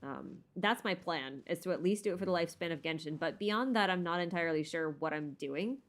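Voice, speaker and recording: A woman's voice: on the low side, fairly quick. A woman streamer casually answering viewer questions unscripted, thinking aloud in a rambling, self-deprecating way.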